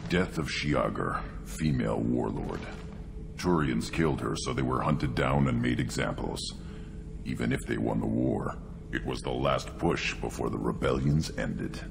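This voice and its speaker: A hulking young alien warrior from a video game, brooding and bloodthirsty. His voice is extremely low and heavily gravelled, growling out intense, halting thoughts in a long reverb over loud music. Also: noisy background, heavily acted. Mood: brooding fascination. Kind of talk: video game character dialogue.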